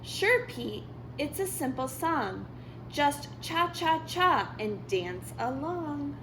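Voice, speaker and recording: Woman as a high-voiced storybook squirrel. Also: very animated, slow, background noise, full character voice. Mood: helpful. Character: friendly squirrel dance teacher, quick to complain.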